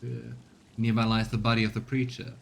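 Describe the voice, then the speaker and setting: A man's voice: mid-range voice, monotone, brisk, vocal fry, slight background noise. A man giving casual let's play commentary, musing aloud and reading game text out as he streams. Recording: game music behind. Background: video game music.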